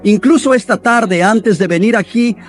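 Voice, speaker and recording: A man delivering a sermon as a voice-over, in an earnest, steady preaching manner. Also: high-pitched, normal speed, soft background music.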